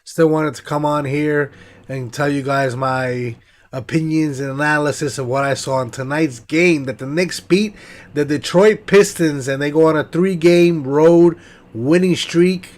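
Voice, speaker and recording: A young man with a congested, nasal voice hosting a casual post-game sports stream, giving shout-outs and running through stats with plenty of fillers. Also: on the high side, fairly even intonation, measured pace. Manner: hype, shout-outs, stat rundown with fillers. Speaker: sports podcast host.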